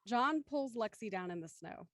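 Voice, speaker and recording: Woman reading stage directions, slightly high voice. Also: animated, moderate pace, a bit bright, dry recording, studio quality. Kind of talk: scripted narration for a live drama reading.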